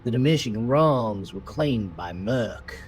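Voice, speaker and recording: A man's voice: mid-range voice, lively intonation, a little slow. A man narrating a horror story in a theatrical, suspenseful manner. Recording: music under the voice throughout. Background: prominent music bed.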